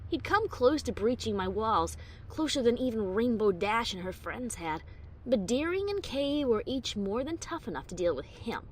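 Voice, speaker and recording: Adventurer pony narrator, played by a woman: female, lively varied pitch, wry bravado with nerves underneath, first-person storybook drama. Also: normal speed, slight background noise, poor audio. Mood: wry, confident. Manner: expressive, breezy bravado over nerves.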